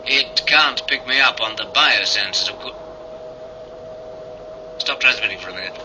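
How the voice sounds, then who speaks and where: A man's voice: fairly low pitch, moderate pace, lightly acted. A man playing a hunted, irritable spacer reporting by radio in a 1980 sci-fi drama, blunt and terse. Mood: grim, terse. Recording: noisy old radio drama tape.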